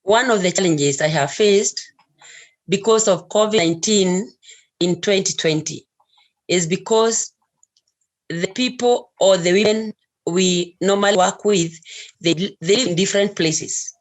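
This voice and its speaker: A woman's voice: medium pitch, unhurried. Woman from Kenya answering on a panel. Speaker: panel guest.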